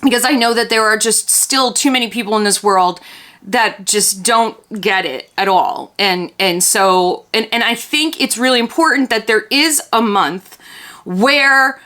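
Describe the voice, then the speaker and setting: A woman's voice: mid-range voice, fairly bright tone. A woman in her fifties chatting earnestly while unboxing dolls, with many restarts and asides. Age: 50s. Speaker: doll collector vlogger. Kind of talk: casual chat while unboxing.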